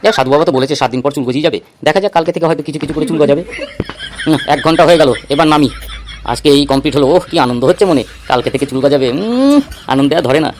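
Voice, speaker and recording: A man's voice: a little high, expressive, very fast, darkish tone, a little hiss or hum, close to an everyday voice. A man voicing a gullible, earnest bald buffoon in a comic cartoon. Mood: hopeful.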